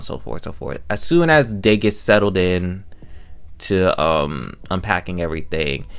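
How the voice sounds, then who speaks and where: A man's voice: deep voice, gravelly. A man hosting a casual, rambling recap and reaction, emotive and slangy, often repeating phrases for emphasis. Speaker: host reviewing an audio drama.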